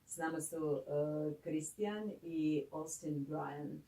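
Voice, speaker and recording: A radio host with a Croatian accent presenting an interview, pausing often while phrasing. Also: medium pitch, vocal fry, dark tone. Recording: roomy, slightly distant microphone.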